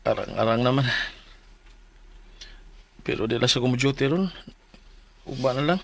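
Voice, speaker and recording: A man's voice: medium pitch, normal speed, vocal fry, fairly bright tone, no echo. An actor with a Cebuano accent playing an earnest, ailing 45-year-old husband who tells his troubles in a Philippine radio drama. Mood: subdued. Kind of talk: Philippine radio drama acting and letter narration. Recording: music bed under voice.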